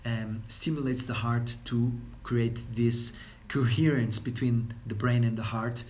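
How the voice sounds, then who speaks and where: A man's voice: medium pitch, fairly even intonation, measured pace, a little mellow, slight background noise. Portuguese-accented man talking about well-being. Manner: rambling, many fillers and repeated words. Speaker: wellness speaker. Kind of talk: unscripted talk.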